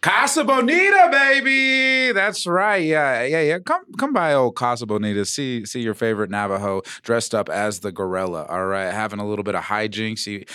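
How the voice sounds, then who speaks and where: A man's voice: medium pitch, animated, normal speed, hardly any pauses, bright, forceful tone, clear, resonant tone, no echo, studio quality. A man with an American accent chatting casually and playfully as a podcast guest, often repeating his words. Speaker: podcast guest, stand-up comic. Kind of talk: casual, joking chat.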